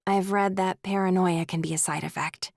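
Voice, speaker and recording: A woman's voice: fairly low pitch, fairly even intonation, moderate pace, creaky, darkish tone, dry recording, studio quality. A female actor playing a worried, skeptical woman in an amateur theater crew, in a full-cast audiobook. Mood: skeptical, dry. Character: anxious, fretting female community theater schemer.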